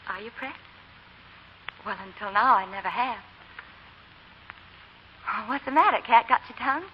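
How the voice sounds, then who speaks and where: An actress playing a willful, impassioned young belle in a 1940s radio drama, intense and theatrical. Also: female voice, on the high side, animated, moderate pace, close to an everyday voice. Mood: tender, pleading. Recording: dull, noisy 1940 radio recording.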